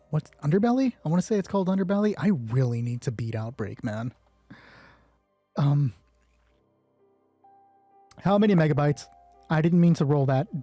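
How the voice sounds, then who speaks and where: A man's voice: a little high, mellow, husky, no echo. A man narrating a solo tabletop game as game master, thinking aloud and asking himself questions that he then answers. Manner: deliberating, asks himself questions and answers them.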